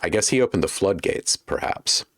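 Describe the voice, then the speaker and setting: A man's voice: low-pitched, very animated, normal speed, gravelly, fairly bright tone. A man hosting a casual livestream while making beats, chatting with viewers and thinking aloud as he works. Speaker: livestream host and music producer.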